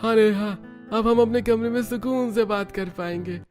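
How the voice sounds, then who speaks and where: A man voicing an excitable young village man who urges and pleads in a cartoon story. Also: high-pitched, moderate pace, faint music behind, pitched above the performer's own voice, lightly acted. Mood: relieved, happy. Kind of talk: Hindi cartoon dialogue acting.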